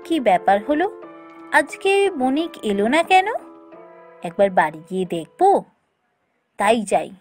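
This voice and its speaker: A woman's voice: medium pitch, sing-song, a little slow, pauses often, faint music behind. A woman playing a curious, caring young village woman in a folk-tale cartoon, polite and questioning. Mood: worried.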